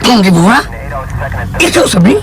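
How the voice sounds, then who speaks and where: A man playing an aggrieved old grandfather in a radio drama, pleading and halting with emotion. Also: high voice, sing-song. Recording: radio drama with music behind.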